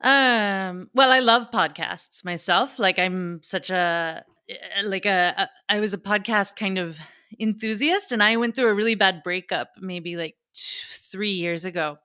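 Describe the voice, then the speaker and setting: A woman's voice: medium pitch, a little slow, bright, forceful tone, clean tone, no echo, clean studio sound. A woman with a North American accent, from the United States, chatting casually and informally as a podcast host. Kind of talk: casual conversational podcast chat.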